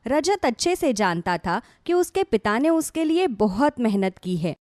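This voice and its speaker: A woman storyteller narrates a scripted cartoon fable. Her voice is fairly high and very animated in its melody, recorded dry. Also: measured pace, dry recording.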